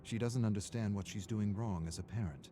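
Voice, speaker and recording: A man narrating a scripted story in a measured, dramatic storytelling manner. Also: on the low side, background noise. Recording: music under the voice. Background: background music.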